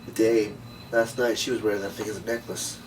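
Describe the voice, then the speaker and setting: A man playing a tough, suspicious, hot-headed noir detective with blunt, gruff delivery in a script table read. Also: on the low side, level tone, fairly quick, close to an everyday voice. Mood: wary. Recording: distant mic, noisy room.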